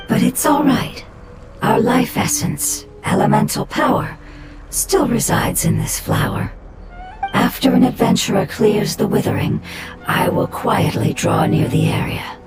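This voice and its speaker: A voice actor playing a gentle, homesick little forest spirit in a game cutscene, speaking softly with hushed pauses. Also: medium pitch.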